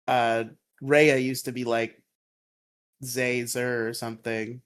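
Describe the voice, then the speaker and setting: A man with a fairly high voice, co-hosting a podcast in casual chat, laughing often, on a headset mic. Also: flat delivery, takes it slowly, smooth. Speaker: podcast co-host.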